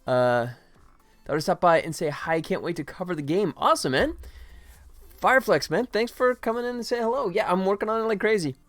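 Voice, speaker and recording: A middle-aged man streaming as a game developer, chatting casually with viewers in a friendly, self-deprecating, rambling way with halting starts. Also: sits high, lively intonation, fairly bright tone, dry recording, faint music behind. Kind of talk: casual livestream chat to viewers.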